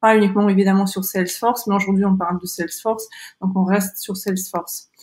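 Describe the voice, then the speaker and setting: A woman's voice: medium pitch, level tone, a little fast, darkish tone. A woman from Kazakhstan with a Kazakh accent, hosting a live webinar on a video call, speaking in a hesitant way with many fillers and restarts. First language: not French. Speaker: webinar host, founder of a Salesforce training school.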